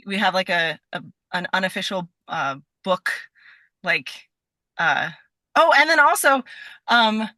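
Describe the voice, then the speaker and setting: A woman's voice: mid-range voice, animated, unhurried, bright, forceful tone, no room sound. A woman chatting casually as a podcast guest, informal and rambling, with an enthusiastic manner. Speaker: podcast guest, scientist and performer. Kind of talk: casual chat.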